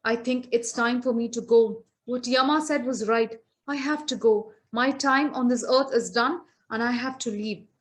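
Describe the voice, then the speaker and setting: A woman's voice: on the high side, steady tone, normal speed, few pauses, close to an everyday voice. A woman doing a pleading crafty old man. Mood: remorseful. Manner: pleading, hesitant with fillers.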